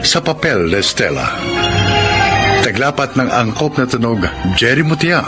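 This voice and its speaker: A man's voice: medium pitch, sing-song, measured pace, loud music behind the voice. A man with a Filipino accent giving formal, scripted, dramatic narration as a radio drama announcer.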